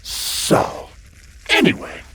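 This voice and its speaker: A man playing a glib, sleazy demon guide of hell in a comic dub, full of slangy, theatrical sales patter. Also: very slow. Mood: gleeful, mocking. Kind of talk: comic-book dub voice acting. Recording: loud music under the voice. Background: prominent music.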